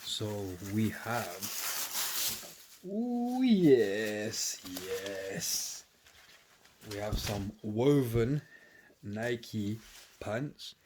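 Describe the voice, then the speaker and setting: Sniffly laid-back man, low voice. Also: sing-song, drawn-out words. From United Kingdom. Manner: laid-back, chatty, slangy. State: sniffly with a cold. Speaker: vlogger. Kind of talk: casual unboxing chat to camera. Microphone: camera on a tripod. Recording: clear background noise.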